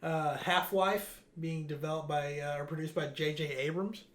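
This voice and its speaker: Man, host, slightly high pitch, very bright voice, informal news roundup among friends. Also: fairly even intonation, moderate pace. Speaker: show host.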